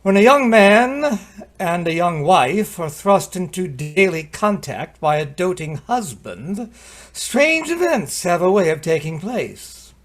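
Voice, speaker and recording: A man's voice: sits high, big pitch swings, takes it slowly, heavily acted. A man playing a stern, caustic judge with a ponderous, formal manner in an old-time radio drama. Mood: caustic, grave. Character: caustic, stern male judge charging a jury. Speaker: actor in an amateur radio play.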